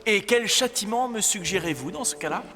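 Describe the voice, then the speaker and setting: A man's voice: high voice, lively intonation, brisk. A man playing an earnest young royal in a dubbed fairy-tale cartoon, speaking in a formal, courtly way. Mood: solemn, resolute. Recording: long reverb, music behind.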